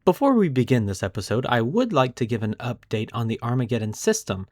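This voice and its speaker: A man's voice: mid-range voice, expressive, a little fast, darkish tone, no room sound. Man hosting a podcast, dry close sound. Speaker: podcast host. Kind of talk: lore storytelling, semi-scripted narration.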